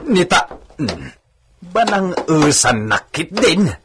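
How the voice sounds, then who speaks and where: A man's voice: on the high side, big pitch swings, slow, very noisy. A man playing a grumbling but deferential servant in an audio drama, given to groans, exclamations and complaints. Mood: pained, exasperated.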